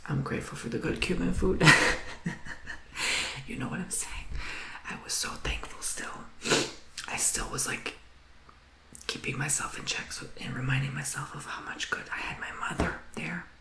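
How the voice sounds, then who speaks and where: Whispering man with gravelly fry. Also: on the high side, normal speed, long pauses. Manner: soft whisper, earnest encouragement; rambling, emotional. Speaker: tarot reader. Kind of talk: whispered tarot reading. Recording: roomy echo.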